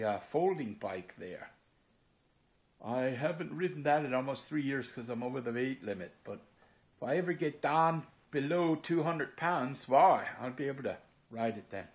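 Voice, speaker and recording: A man of sixty with a Pennsylvania Dutch accent, vlogging in a rambling, unhurried way with many filler pauses, recorded on a handheld phone in his room. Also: medium pitch. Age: 60s. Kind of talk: casual walk-through monologue. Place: his own room indoors. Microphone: phone camera held by speaker.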